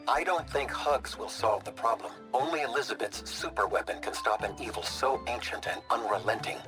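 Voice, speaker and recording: Man as a deadpan, sardonic game guide. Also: mid-range voice, a little fast, lightly acted. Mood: dryly superior. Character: dry, deadpan, sardonic male guide and scanner. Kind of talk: comedic video game acting. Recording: music behind the voice.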